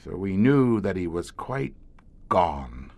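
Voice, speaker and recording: Grim male professor, low-pitched, slow, measured, formal, weary, audio horror drama. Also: very animated, a little mellow, full character voice. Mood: grimly matter-of-fact. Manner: measured, hesitant, formal, darkly matter-of-fact. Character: weary, grim professor commanding a secret subway police squad.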